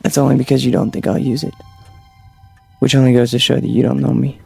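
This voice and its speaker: A man's voice: flat delivery, quick pace, creaky, a little mellow, slightly rough, full character voice. An actor playing a cold young man, obsessed with strength and power, who grows frantic, in a vintage television drama. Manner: tense, clipped, turns shrill and frantic. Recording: vintage broadcast soundtrack with music.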